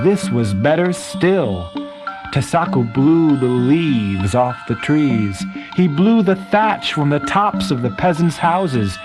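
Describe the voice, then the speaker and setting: A man narrating a scripted bedtime story in an expressive, dramatic way, with pauses and emphasis. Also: medium pitch.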